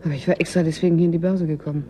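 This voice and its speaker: An actress playing an earnest, gently ironic climatology student in a radio drama, speaking softly and reflectively. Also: female voice, deep voice, steady tone, rapid-fire, natural voice. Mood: eager, flustered. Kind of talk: German radio drama acting, naturalistic dialogue.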